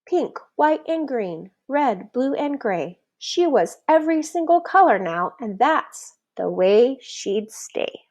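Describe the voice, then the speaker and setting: A woman reading a children's picture book aloud as an expressive, deliberate storyteller who leans on the rhymes. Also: sits high. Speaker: storybook reader.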